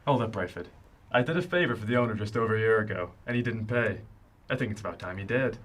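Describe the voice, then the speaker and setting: A needling, bossy crime boss acted by a man in a radio drama. His voice is sharp and forceful with a raspy edge, and he tempts and taunts with theatrical menace. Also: fairly low pitch, lively intonation, fast talker. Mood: commanding. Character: smooth-talking, menacing male criminal ringleader, needling and bossy.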